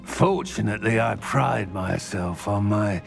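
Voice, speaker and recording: A man's voice: on the low side, a little slow, gravelly. A man playing a smug, menacing criminal boss in a video game, drawling mockingly in ornate sentences. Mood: mocking menace. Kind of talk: scripted video game villain acting. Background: background music.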